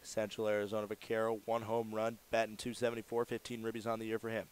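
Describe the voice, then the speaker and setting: Clear-voiced man calling baseball play-by-play. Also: lowish voice, completely flat delivery, quick pace. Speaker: radio play-by-play baseball announcer. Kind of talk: live sports commentary.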